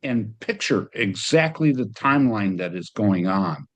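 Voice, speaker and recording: A man's voice: fairly low pitch, animated, measured pace. A man giving effusive, emphatic feedback in a casual way as an audience member on a video call.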